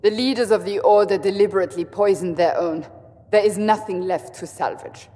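A woman's voice: medium pitch, brisk, warm tone, long reverb tail, lightly acted. A woman playing a blunt, stern, suspicious warrior in a video game. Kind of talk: video game dramatic acting.

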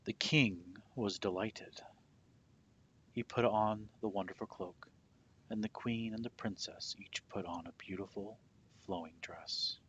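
A man tells a children's story in a low voice at a very slow pace. His phrasing is deliberate and dramatic, easing into soft endings. There is a slight rumbling noise in the recording. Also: steady tone, dull audio. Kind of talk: children's picture book read-aloud.

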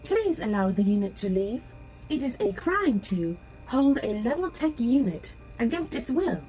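A courteous female holographic android, acted by a woman in a sci-fi audio drama. Her voice sits fairly high and swings melodically, yet stays formal and procedural as she deals with people. Faint music runs underneath. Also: sing-song, measured pace, warm tone, poor audio. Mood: polite, insistent. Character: polite, rule-bound female holographic android sales unit.

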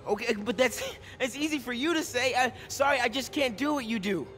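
A man's voice: very high-pitched, fairly quick, sharp tone, soft background music. An actor playing a polite, eager and unsure teenage boy in a video game, with an American accent and hesitant, informal speech. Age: young adult. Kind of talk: acted video game dialogue.

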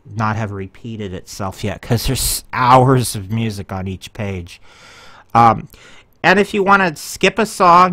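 A man's voice: medium pitch, fairly bright tone, dry recording. A man casually walking viewers through his website in a screen-share, rambling a little with frequent ums and self-corrections. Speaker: website owner giving a tour.

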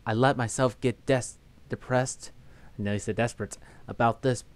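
A young man hosting a game playthrough, chatting casually and reading the game's text aloud in a steady voice. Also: mid-range voice, normal speed, clean tone. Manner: reads text in a flat steady voice, breaks into laughter. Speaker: let's play host.

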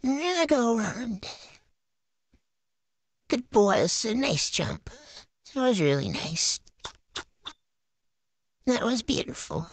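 A woman's voice: a little high, no echo. Young woman casually narrating gameplay, dry sound. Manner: chatty, narrating each move in short phrases. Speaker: gaming vlogger. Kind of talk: casual play-by-play gameplay commentary.

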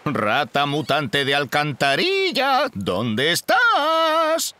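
An actor playing a pompous, eccentric old monster hunter in a cartoon, with a Castilian Spanish accent and theatrical delivery. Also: male voice, a little high, huge pitch swings, drawn-out words, bright, forceful tone, a little hiss or hum, exaggerated voice. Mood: determined. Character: pompous, eccentric old man hunting supernatural creatures. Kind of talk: animated cartoon dubbing.

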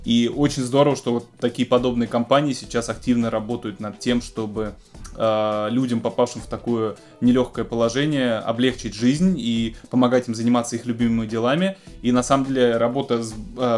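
A man's voice: medium pitch, darkish tone. A man in his thirties casually presenting news to camera in an explanatory, conversational way, as host of a music technology show. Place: small home music studio, indoors.

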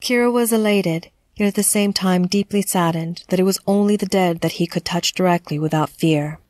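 A woman narrating a scripted horror story in a measured, dramatic storytelling manner. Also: lowish voice, darkish tone, dry recording.

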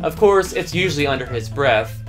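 Higher-pitched young man hosting. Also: animated, fairly quick, music in the background. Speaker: host of a fan video countdown. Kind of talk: scripted narration to camera. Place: small room at home.

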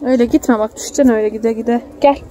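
A woman's voice: a little high, steady tone, rapid-fire, mellow, poor audio. A young woman chatting casually and affectionately with her small child, explaining things simply and coaxing her along. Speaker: mother filming a family outing. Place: outdoors in a city park. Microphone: handheld phone held by the speaker. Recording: outdoor phone video with faint noise.

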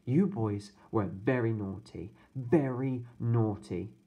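A man's voice: mid-range voice, takes it slowly, mellow, breathy edge. A man voicing a stern but kindly woman babysitter who scolds and preaches to the boys in a storybook reading. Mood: scolding.

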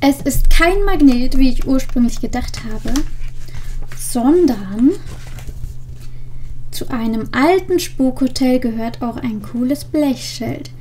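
Young female vlog host, high voice, unhurried delivery, close desk mic in a small room. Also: mellow, slight background noise. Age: young adult. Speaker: video host. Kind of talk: casual vlog with story reading. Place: indoors, small room with green screen. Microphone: desk microphone with pop filter.

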